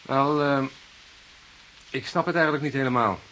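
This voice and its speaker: An actor playing a young journalist in a Dutch radio drama, polite and hesitant, evasive with a wry, cheeky streak. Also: male voice, mid-range voice, normal speed, sharp tone, lightly acted, low-quality recording. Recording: hissy old radio play recording.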